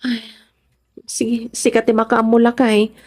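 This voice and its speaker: A woman's voice: on the high side, monotone, unhurried, darkish tone. A woman with a Filipino accent playing a hardworking, worried wife and mother in a radio drama. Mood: caring, instructing.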